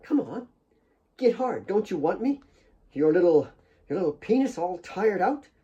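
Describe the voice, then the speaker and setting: A man's voice: high voice, sing-song, unhurried, warm tone, slightly rough, highs cut off, pitched above the performer's own voice, full character voice. A man voicing a bitter, jealous, hard woman in an audiobook, with a taunting, accusing delivery.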